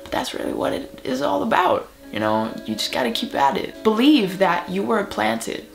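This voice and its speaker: A woman's voice: on the high side, huge pitch swings, normal speed, creaky, faint music behind. A woman from Germany, German her first language, speaking English in an earnest, encouraging motivational vlog. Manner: earnest, encouraging, with soft laughs. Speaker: video creator.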